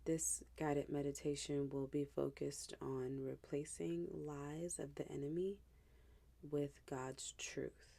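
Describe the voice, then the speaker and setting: Slow, low-voiced woman guiding meditation. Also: little pitch movement, creaky. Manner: hushed, gentle, unhurried, with pauses. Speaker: meditation guide.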